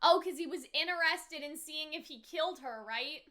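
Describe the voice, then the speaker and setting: A woman's voice: sits high, moderate pace, sharp tone. A woman giving live reaction commentary on a show, exclaiming often in slangy, sweary bursts and drawing out words. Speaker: reaction video host.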